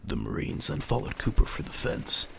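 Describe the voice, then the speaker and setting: A man narrating a scripted dramatic story in a measured, descriptive way. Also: background noise.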